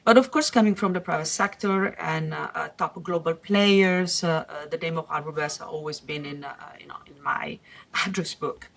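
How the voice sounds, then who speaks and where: A middle-aged woman with a slight Italian accent, from Italy, explaining her career calmly as a guest in a podcast interview, pausing often. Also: low-pitched. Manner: measured, explanatory, with frequent "uh" pauses. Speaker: guest investor.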